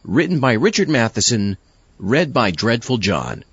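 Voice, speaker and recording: Comic sidekick played by a man, husky and animated, dull dry sound. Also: medium pitch, a little slow, no room sound, exaggerated voice. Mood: announcing. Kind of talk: comedic audio drama. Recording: dull, close dry recording.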